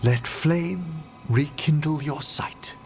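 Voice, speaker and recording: Gentleman necromancer, fantasy game: lightish higher pitch, slow, formal, solemn, music beneath. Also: creaky. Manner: formal, measured, incantatory. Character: refined, solemn necromancer gentleman performing a rite. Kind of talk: fantasy video game acting.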